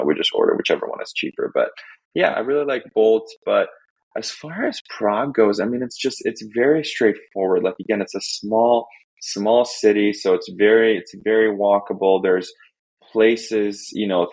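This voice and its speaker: Russian-speaking man, deep gravelly voice, dry close sound, relaxed rambling guest. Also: no echo, poor audio. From Estonia. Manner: rambling, many fillers and restarts. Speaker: podcast guest. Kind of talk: casual interview chat.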